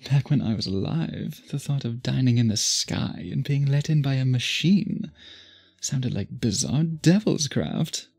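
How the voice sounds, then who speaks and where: Man acting a husky, courtly vampire suitor. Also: medium pitch, expressive, takes it slowly, a little mellow, exaggerated voice. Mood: charmed, courteous. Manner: formal, archaic phrasing, intimate and possessive. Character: courtly, obsessive old-fashioned male vampire suitor. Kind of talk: intimate ASMR roleplay acting.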